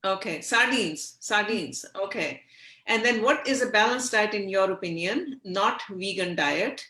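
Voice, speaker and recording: A woman hosts an interview over a video call, reading out viewer questions with hesitant fillers. Her voice is bright and forceful, and it carries a noticeable room echo. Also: medium pitch, unhurried. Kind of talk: interview hosting. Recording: echoey room.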